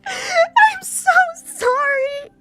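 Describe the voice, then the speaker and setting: A woman's voice: moderate pace, vocal fry, a little mellow, soft background music. A woman voicing a proud but scared teenage girl from a visual novel, raw and emotional. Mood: sobbing, pleading. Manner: raw, emotional, high-pitched outbursts and pleading. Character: wounded, fiery teenage girl, proud yet scared and vulnerable.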